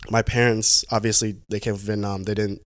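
A young man speaks in a low voice, easygoing but halting, restarting phrases and leaning on fillers as he tells his story. The sound is dry and close, with no room behind him. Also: level tone, no echo. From Pacific Northwest, United States. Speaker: guest. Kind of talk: casual conversational interview.

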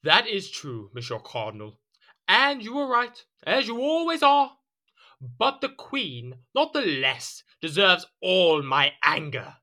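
A man's voice: high voice, wildly swooping pitch, takes it slowly, bright, forceful tone, exaggerated voice. An actor playing a petulant, haughty and easily swayed king in an audio drama. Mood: haughty, aggrieved. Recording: music behind the voice.

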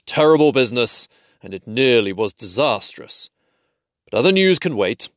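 An old wizard, hearty and commanding, drawls his words slowly, as a man puts him on for a storybook. The voice sits a little above the reader's own, and the recording is dry and close. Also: fairly high pitch, lively intonation, a bit bright, no echo, pitched above the performer's own voice. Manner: hearty, unhurried.

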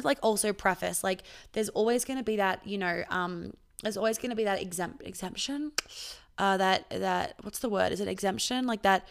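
A woman's voice: medium pitch, creaky, clean tone. A young woman hosting a casual solo podcast as a coach, candid and chatty, swearing freely and filling her talk with "like". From born in Australia, raised in New York, Sydney and Melbourne. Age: young adult. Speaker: podcast host and intimacy coach.

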